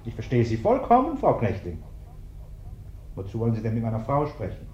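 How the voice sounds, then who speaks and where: Brusque, animated bourgeois businessman. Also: mid-range voice, a little fast. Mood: impatient, evasive. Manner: brusque, formal, impatient. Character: evasive, curt bourgeois businessman on the telephone. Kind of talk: German radio play acting. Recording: dull, roomy old radio recording.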